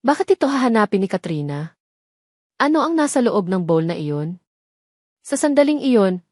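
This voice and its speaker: A woman's voice: mid-range voice, darkish tone, no echo, clean studio sound. A woman narrating a scripted romantic drama as a storyteller, in a dramatic reading style.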